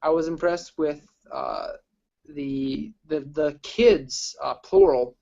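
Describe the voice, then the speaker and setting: A young man chatting casually as an amateur film critic, opinionated and a bit halting in delivery. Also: on the high side, big pitch swings, vocal fry, mellow, highs cut off. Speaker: amateur film critic on a group review show. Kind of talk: casual review chat.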